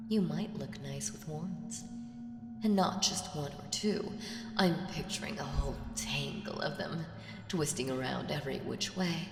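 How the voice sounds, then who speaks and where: Casting: woman as smug ancient evil being for audio roleplay, seductive and condescending, very slow delivery, drenched in cavernous reverb. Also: fairly low pitch. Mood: teasing, gloating. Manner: slow, teasing, condescending, breaking into breathy whispers. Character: ancient evil female entity, smug, seductive, toying with mortals. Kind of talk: ASMR audio roleplay monologue. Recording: echoey hall reverb, distant, music behind.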